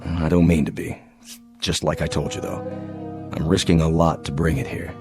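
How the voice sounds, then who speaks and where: An actor in a radio drama playing a grave, sympathetic spaceship captain who is guarded and dutiful. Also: male voice, very deep voice, level tone, moderate pace. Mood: guarded, gentle. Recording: music behind.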